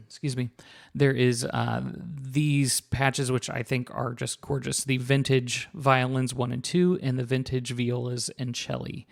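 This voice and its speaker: Hoarse gravelly man reviewing software. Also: medium pitch. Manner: relaxed, filler-heavy, restarts sentences. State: hoarse, scratchy voice. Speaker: sample library reviewer. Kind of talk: casual product walkthrough.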